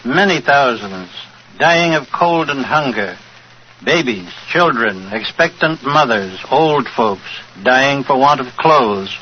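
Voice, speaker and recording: A man reading a scripted 1940s radio charity appeal in a grave, deliberate, emphatic oratorical manner. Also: medium pitch. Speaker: guest speaker making a charity appeal. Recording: dull, noisy 1940s radio broadcast.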